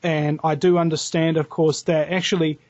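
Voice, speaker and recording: A man's voice: on the high side, flat delivery, moderate pace, darkish tone, no room sound, lo-fi sound. A man with a New Zealand accent telling stories as a podcast guest, heated and swearing freely. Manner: heated, profane storytelling. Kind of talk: casual podcast interview.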